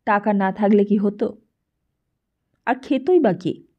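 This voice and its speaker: A woman's voice: medium pitch, takes it slowly. A woman audiobook narrator reading literary fiction expressively, on slightly dull, lo-fi audio.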